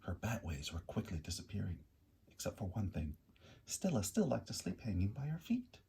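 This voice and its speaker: A man reading a picture book aloud in an animated, expressive storyteller way. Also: lowish voice, moderate pace, creaky, slightly rough.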